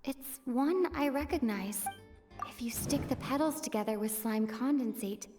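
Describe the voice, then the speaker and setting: A woman's voice: fairly high pitch, moderate pace, music in the background, natural voice. A woman voicing a youthful, observant investigator who explains carefully and analytically in video game dialogue.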